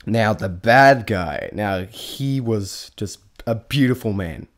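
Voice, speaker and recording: A man's voice: fairly low pitch, animated, takes it slowly. A man giving a casual, rambling spoken review, often restarting his sentences and stating blunt, informal opinions. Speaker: vlogger reviewing a stage show.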